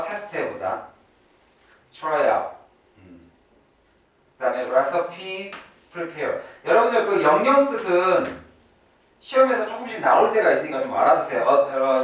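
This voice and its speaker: Man, English instructor, grammar lecture, lively intonation, repetitive emphasis, self-answered questions, very reverberant room. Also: fairly high pitch. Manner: emphatic, repeats key terms, asks and answers own questions. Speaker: English teacher at an academy. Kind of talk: classroom-style grammar lecture. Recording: echoey room, distant mic, faint noise.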